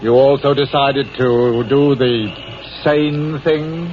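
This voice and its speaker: A man's voice: medium pitch, fairly even intonation, slow pace, natural voice. An actor playing a level-headed, needling male passenger in an old-time radio drama, with a dry, pointed manner. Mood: measured, needling. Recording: muffled old radio broadcast, faint noise.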